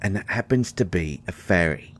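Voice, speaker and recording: A man's voice: sits low, animated, normal speed. A man playing a grumpy, secretive fairy healer in an intimate roleplay audio, hesitant and exasperated with wry sarcasm. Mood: indignant, flustered.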